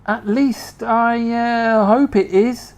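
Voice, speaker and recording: A reader voicing George, a calm and earnest boy in a storybook. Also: mid-range voice, takes it slowly, voice pushed up.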